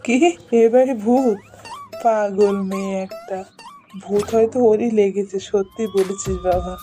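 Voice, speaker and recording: A woman's voice: medium pitch, steady tone, measured pace, mellow, faint music behind, close to an everyday voice. A woman voicing a puzzled, questioning father in a family cartoon. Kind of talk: cartoon voice acting.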